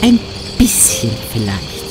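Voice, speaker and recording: A man's voice: fairly low pitch, wildly swooping pitch, takes it slowly, music in the background, heavily acted. A man playing an impatient, sarcastic companion on the run in a horror-comedy audio play. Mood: dry sarcasm. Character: impatient sarcastic male companion fleeing a monster.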